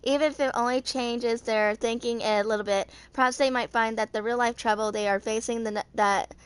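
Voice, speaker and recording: A woman in her twenties reading written game lore aloud in an amateur narration, haltingly, stumbling over words and rereading them. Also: fairly high pitch, fairly even intonation, smooth. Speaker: video creator reading game character lore.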